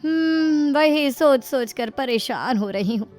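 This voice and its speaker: A woman plays a middle-aged mother-in-law in a comic moral story, fretful and scheming. The voice is fairly high and emphatic, with faint music behind. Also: animated, slow pace, a little mellow. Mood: mock worried.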